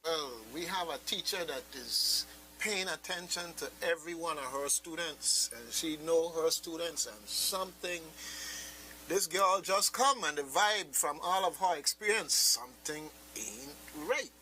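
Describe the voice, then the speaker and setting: Host: man, Caribbean accent, crisp bright voice, conversational and emphatic, slight hum and hiss behind him. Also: fairly high pitch, a little slow, low-quality recording. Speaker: radio host. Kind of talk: casual discussion of a radio drama.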